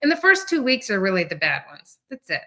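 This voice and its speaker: A woman's voice: medium pitch, huge pitch swings, brisk, pushed and bright. A woman co-hosting an interview in a casual, conversational style. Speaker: interview host. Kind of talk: casual chat.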